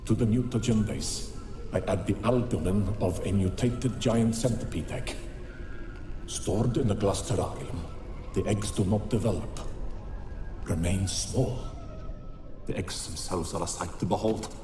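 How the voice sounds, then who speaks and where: An actor playing a solemn, obsessive older scholar dictating a research journal in a fantasy game, in a measured, formal, grave manner. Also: male voice, fairly low pitch, music in the background, lightly acted. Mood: clinical, intrigued. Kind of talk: video game character acting, recorded journal. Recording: echoey, noisy recorded-journal sound.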